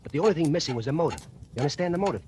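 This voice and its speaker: A man's voice: fairly high pitch, animated, racing, background noise, natural voice. An actor playing a scheming factory worker who narrates his own murder plot, confiding and gloating, in 1940s radio acting. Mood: sly. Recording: old radio broadcast with music.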